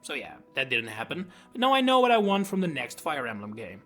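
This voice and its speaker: A man's voice: on the high side, huge pitch swings, brisk, bright, forceful tone. A young man with a Dutch accent, a native Dutch speaker, narrating a scripted video essay with enthusiasm and wry asides. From Netherlands. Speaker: YouTube host. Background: faint background music.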